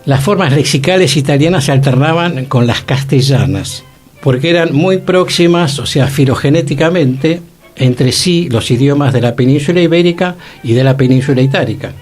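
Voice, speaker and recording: A man's voice: a little high, normal speed, a little mellow. A man with a Rioplatense Argentine accent giving a didactic, scholarly talk as a radio host. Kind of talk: informative radio talk on theatre history. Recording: music playing behind the voice. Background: music.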